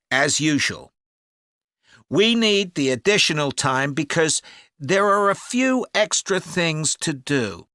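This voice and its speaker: An actor playing a helpful, organised man on a children's camp staff, explaining clearly and deliberately in a listening-test dialogue. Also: fairly high pitch, bright, forceful tone, no echo, studio quality, full character voice. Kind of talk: acted informational phone-enquiry dialogue for a listening test.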